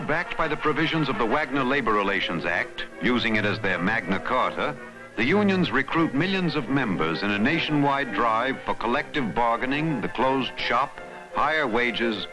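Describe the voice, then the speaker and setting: Dramatic American documentary narrator over music. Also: male voice, medium pitch, low-quality recording. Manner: dramatic, emphatic phrasing. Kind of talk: scripted newsreel-style documentary narration. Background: background music.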